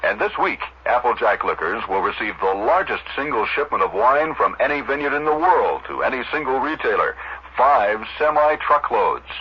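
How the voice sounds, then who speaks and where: A man's voice: fairly low pitch, bright, forceful tone. An American man reading a radio commercial in a punchy announcer style. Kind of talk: punchy advertising read. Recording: muffled radio broadcast with faint hiss.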